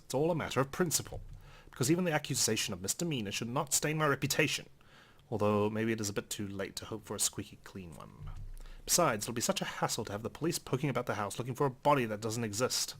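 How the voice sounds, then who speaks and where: A man's voice: mid-range voice, lively intonation, fast. A man hosting a game playthrough, reading a visual novel's first-person narration in a dry, theatrical way. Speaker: let's play host.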